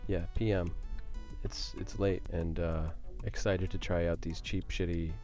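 A man's voice: low voice, fairly even intonation, unhurried, a little mellow, faint music behind. A man co-hosting a podcast in casual, slangy, enthusiastic chat, recorded inside a van on a cheap USB microphone. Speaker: podcast co-host.

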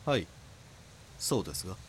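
An actor playing an earnest police detective who narrates his case in a grave, measured way for an audio drama. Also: lightly acted. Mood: serious, restrained.

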